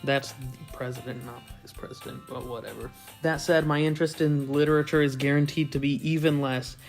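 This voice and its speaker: A man's voice: medium pitch, fairly even intonation, a little fast, faint music behind. A young man reading a visual novel aloud for a gaming video, with crude, irreverent asides. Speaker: let's play narrator.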